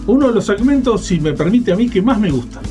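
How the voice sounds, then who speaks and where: High-pitched, animated Argentine man hosting radio. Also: fast, soft background music. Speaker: radio host. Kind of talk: conversational educational radio talk.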